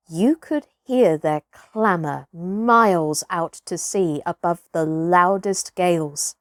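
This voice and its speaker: Slow, expressive woman storyteller. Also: medium pitch, no room sound, clean studio sound. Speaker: storybook reader, actress. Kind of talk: expressive scripted children's story narration.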